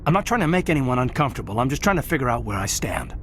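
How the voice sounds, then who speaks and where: A man's voice: mid-range voice, very animated, racing, fairly bright tone, slight background noise. A man playing a sincere, hurt military lieutenant in a video game, speaking earnestly and hesitantly. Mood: confused, earnest. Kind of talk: video game dialogue acting.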